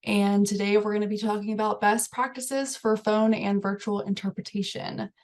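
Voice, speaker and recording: A woman's voice: medium pitch, flat delivery, normal speed, a little mellow. Woman introducing a webinar. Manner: reads from notes with "um" fillers. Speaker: research coordinator, webinar moderator. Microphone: computer mic on a video call.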